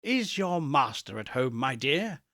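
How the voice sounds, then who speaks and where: A man's voice: mid-range voice, sing-song, a little slow, a bit bright, dry recording, studio quality. A man voicing a reformed old miser in a storybook reading, joyful and kindly, speaking with effusive, earnest courtesy. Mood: gentle, kindly.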